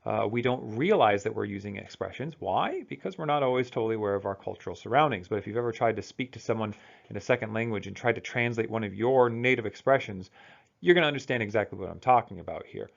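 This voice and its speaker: An American man lectures to students in a relaxed, conversational manner, pausing and restarting as he thinks aloud. His voice has a gravelly, creaky edge, and the recording sounds dull and lo-fi. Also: lowish voice. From United States. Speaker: college instructor.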